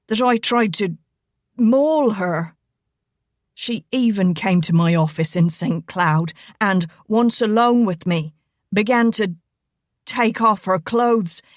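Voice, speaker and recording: A woman's voice: lowish voice, no echo, clean studio sound, close to an everyday voice. A woman voicing a big, charming Irish man who teases in a thick Irish brogue. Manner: playful thick brogue, lilting.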